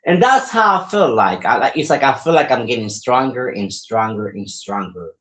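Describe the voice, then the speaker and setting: A man's voice: mid-range voice, lively intonation, normal speed, a bit bright. A man in his forties hosting a podcast, talking casually and upbeat, often repeating his words as he rambles. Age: 40s. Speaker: podcast host and wrestler. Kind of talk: casual solo podcast talk.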